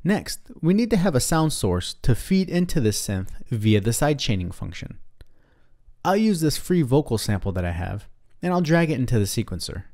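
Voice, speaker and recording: A man's voice: mid-range voice, very animated, normal speed, darkish tone. A man narrating a scripted instructional tutorial in a methodical, step-by-step way.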